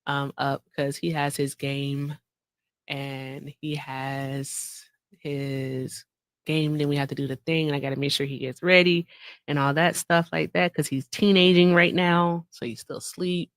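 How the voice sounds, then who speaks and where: A woman's voice: low voice, flat delivery, unhurried, dry recording. A woman in her late thirties hosting a casual livestream, chatty and laughing often, calling her viewers y'all. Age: 30s. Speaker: livestream host.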